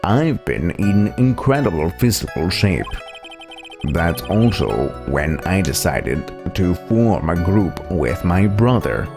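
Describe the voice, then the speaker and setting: An earnest young circus acrobat, voiced by a man, speaking low with a creaky edge. He tells his story gently and sincerely, as a video game character with music playing behind. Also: very animated, slow pace, exaggerated voice. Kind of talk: reading game dialogue in character.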